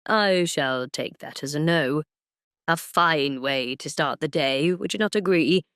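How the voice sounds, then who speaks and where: A woman's voice: low-pitched, measured pace, fairly bright tone, smooth, no echo, clean studio sound. A woman voicing a teasing, self-assured aristocratic duke, speaking formally in a romance audio drama. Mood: playful, teasing.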